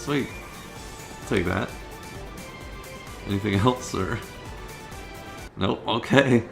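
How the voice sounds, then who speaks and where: A man's voice: on the low side, takes it slowly, gravelly. A man co-hosting a gaming video with casual, easygoing commentary, riffing along with the jokes. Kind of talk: casual gameplay commentary banter. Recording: loud game music behind the voice. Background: video game music.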